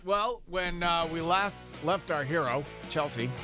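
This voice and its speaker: A man hosting a morning radio show, teasing his co-host in a jokey, quick-to-laugh manner. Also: fairly high pitch, over music. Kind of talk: casual radio banter. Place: radio studio.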